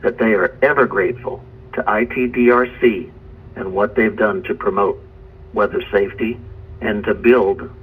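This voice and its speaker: A man speaking as a meteorologist in an earnest testimonial, with measured, deliberate phrasing. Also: fairly low pitch, fairly even intonation, fairly bright tone, husky, rough recording.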